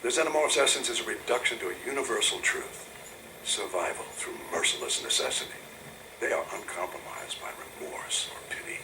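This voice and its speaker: A man playing a cold, reverent, centuries-old android scientist in a game audio log, speaking in a measured, breathy, contemplative way. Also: on the low side, big pitch swings, roomy sound, noisy background. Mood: reverent, musing.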